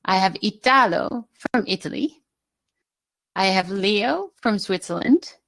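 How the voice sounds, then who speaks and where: A woman's voice: medium pitch, lively intonation, takes it slowly, a bit bright. Woman hosting a video call panel. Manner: friendly, chatty, apologetic with fillers. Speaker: host. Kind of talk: casual video call moderation.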